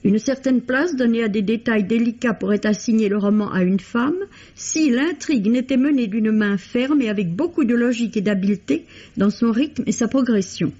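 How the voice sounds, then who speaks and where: A woman literary critic reading a scripted radio book review in a measured, formal way. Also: on the high side, mellow. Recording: dull old radio recording.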